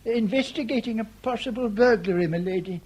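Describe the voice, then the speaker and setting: A man's voice: high-pitched, a little fast, darkish tone, husky. A man playing a glib, evasive Victorian manservant in a period comedy radio drama, with hesitant, ornate deference. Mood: deferential, evasive.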